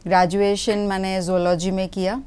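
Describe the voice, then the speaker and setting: A woman in her forties from Gujarat, a retired army officer speaking as a guest in a broadcast interview, measured, with many pauses and English phrases mixed in. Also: medium pitch, little pitch movement, darkish tone, clear, resonant tone. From Gujarat, India.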